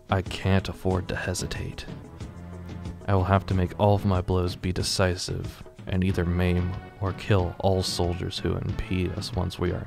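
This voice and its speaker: A man narrating his own audio drama in the first person, telling it in a measured, matter-of-fact way. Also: low-pitched. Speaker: narrator and writer. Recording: music clearly behind the voice. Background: guitar music.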